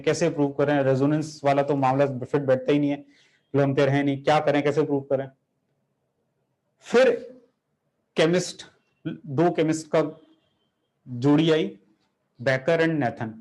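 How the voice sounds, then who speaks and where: Man, chemistry teacher, lively lecture, fairly high voice. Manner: animated, rhetorical questions, addresses students directly. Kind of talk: classroom lecture with storytelling.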